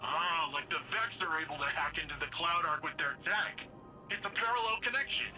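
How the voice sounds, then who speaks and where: An eager young sidekick from a sci-fi game, with a highish and very bright voice. He is curious and a little wry, talking casually and haltingly, with faint music behind him. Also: poor audio. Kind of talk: dramatic video game dialogue.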